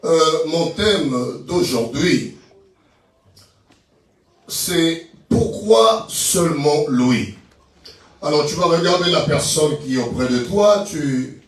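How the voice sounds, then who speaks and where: A man's voice: fairly high pitch, recorded from a distance, noisy background. A man from Angola, a guest pastor and not a native French speaker, preaching a loose, chatty sermon and drawing the congregation into call and response. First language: not French; English and Portuguese speaker. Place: church hall with congregation. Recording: echoey hall, heard from afar.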